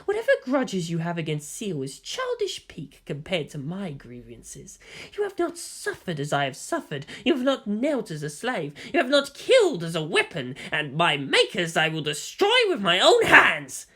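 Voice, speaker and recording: A woman's voice: on the low side, huge pitch swings, normal speed, sharp tone, husky, heavily acted. Lady reading as a cold vengeful woman, lectures at you, voice bobbing up and down a lot. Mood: scornful. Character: bitter vengeful woman, former slave.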